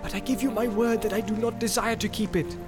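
A man's voice: a little high, huge pitch swings, normal speed, over music, voice pushed up. A man voicing a tall, proud warrior with a covetous temper, speaking in archaic, impassioned declamation in a fantasy story. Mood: insistent pleading.

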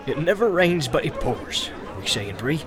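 A man's voice: a little high, very animated, moderate pace, a crowd in the background. A man voicing a bustling, talkative, forgetful stout male innkeeper who chatters on in a flustered rush. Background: music.

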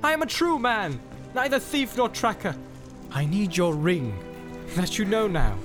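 A man's voice: on the high side, huge pitch swings, moderate pace, music in the background, voice pushed up. A man voicing a tall, proud warrior with a covetous temper, speaking in archaic, impassioned declamation in a fantasy story. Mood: insistent pleading.

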